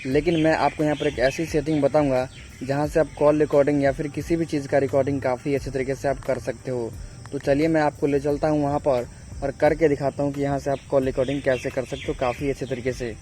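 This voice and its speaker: A man's voice: medium pitch, little pitch movement, quick pace, warm tone, a little hiss or hum. A man hosts a step-by-step phone tutorial in a repetitive, instructional way with frequent check-in tags. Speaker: tech tutorial host.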